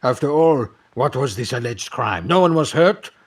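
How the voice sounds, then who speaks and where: A man's voice: mid-range voice, lively intonation, normal speed. A man playing a vain, bitter, jealous film producer and ex-convict in an audio drama, speaking in a pompous, theatrical, self-justifying way. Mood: indignant, haughty.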